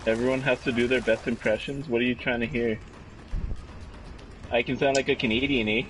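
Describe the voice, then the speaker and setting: A man's voice: mid-range voice, fairly even intonation, brisk, a bit bright, faint music behind, poor audio. A man co-hosting a casual gaming podcast, teasing and joking. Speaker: podcast co-host.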